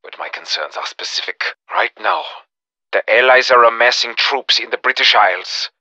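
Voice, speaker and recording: Forceful, menacing German Nazi officer. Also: male voice, mid-range voice, gravelly, dry recording, dull audio. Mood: resolute. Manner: theatrical, commanding, contemptuous, deliberate. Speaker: villain character in a video game. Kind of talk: video game villain voice acting, menacing monologue.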